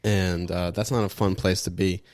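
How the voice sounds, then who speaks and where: A young man co-hosting a podcast, a little sniffly from allergies, telling a story in animated casual chat with some cursing. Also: sits low, moderate pace, a crowd in the background. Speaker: podcast co-host.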